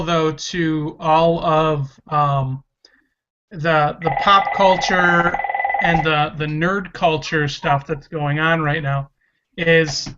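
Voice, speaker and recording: A man's voice: high voice, sharp tone, a little hiss or hum. A man of thirty-two hosting a casual podcast, speaking with enthusiasm and frequent restarts. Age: 30s. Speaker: podcast host. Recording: dull lo-fi sound.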